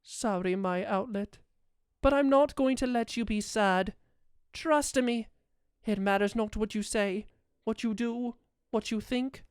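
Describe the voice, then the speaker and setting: A woman's voice: high voice, smooth, no echo, clean studio sound. A woman voicing a majestic, doting male owl demon prince in a comforting audio roleplay, with regal, affectionate and theatrical phrasing.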